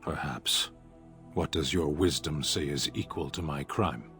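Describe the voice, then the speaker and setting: A man playing a stoic, grimly resigned captive warrior in a video game, speaking in terse, solemn, measured words. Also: a bass voice, sharp tone, faint music behind, heavily acted. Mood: solemn, guarded.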